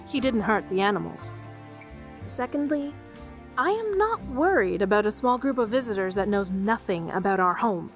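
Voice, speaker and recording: A woman's voice: on the high side, fairly bright tone. Woman playing a composed village leader, music behind. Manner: composed, firm. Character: calm, decisive female village leader. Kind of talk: audio drama acting.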